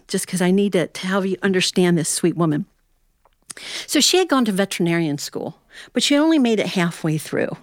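An American woman telling a humorous personal tale as a storyteller, in a folksy, animated manner with dramatic pauses. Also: medium pitch, no room sound, clean studio sound. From Ohio, United States.

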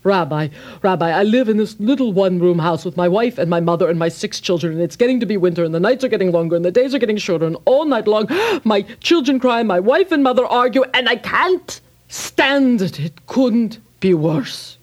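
A woman storyteller voicing a poor, desperate man who pleads in a breathless, rushed way. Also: medium pitch, lively intonation, lightly acted. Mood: frantic. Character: poor desperate middle-aged man, frantic complainer.

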